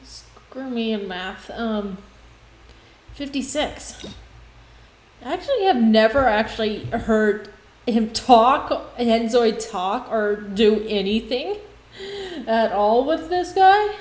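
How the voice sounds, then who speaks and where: A woman game streamer giving casual livestream commentary, rambling and thinking out loud. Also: on the high side, lively intonation, slow pace. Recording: roomy sound, faint noise.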